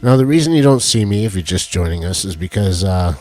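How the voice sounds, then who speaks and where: A man with a deep voice, co-hosting a casual live stream and joking as he fiddles with his tech. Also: animated, brisk, a little mellow, no room sound. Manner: rambling, jokey, fiddles with tech while talking. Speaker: co-host of a live stream show. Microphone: headset with headphones.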